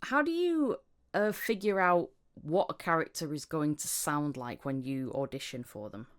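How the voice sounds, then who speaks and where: A woman's voice: deep voice, lively intonation, moderate pace. A woman hosting a casual interview podcast, chatting informally. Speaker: podcast host, amateur voice actor.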